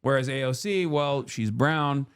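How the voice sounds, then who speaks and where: A man's voice: mid-range voice, a little slow, smooth. A man hosting a live stream, chatting casually and conversationally with frequent fillers. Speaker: streamer host. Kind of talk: casual chat.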